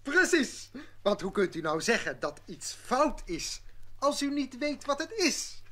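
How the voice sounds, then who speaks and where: Cheerful persuader for a children's audio story: animated, swooping intonation, gently coaxing. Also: high-pitched, unhurried, exaggerated voice. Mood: coaxing, amused. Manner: coaxing, chuckling, lively. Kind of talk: children's audio play acting.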